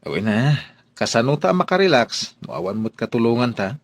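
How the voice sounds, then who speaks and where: A man acting in a radio drama as a lively, easygoing son of a well-off household. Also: mid-range voice, fairly quick, no echo. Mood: upbeat.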